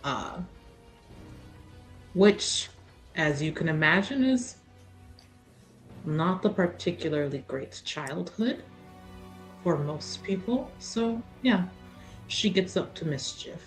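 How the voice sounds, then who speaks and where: A woman's voice: lowish voice, animated, slow pace, pauses often, soft background music, low-quality recording. A woman chatting casually as a tabletop roleplaying player, narrating and explaining a character.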